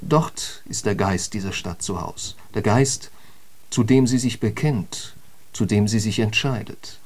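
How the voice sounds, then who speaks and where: Eloquent, polemical intellectual, declamatory. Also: male voice, fairly low pitch, measured pace, darkish tone. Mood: fervent. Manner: rhetorical, declamatory, emphatic. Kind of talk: literary radio drama monologue.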